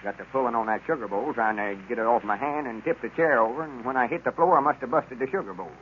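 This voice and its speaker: A man's voice: medium pitch, fast talker, natural voice. An actor playing a stubborn, complaining old country man with a rural Southern accent in 1930s radio comedy. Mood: grumbling. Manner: rustic, complaining. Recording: muffled, noisy vintage radio recording.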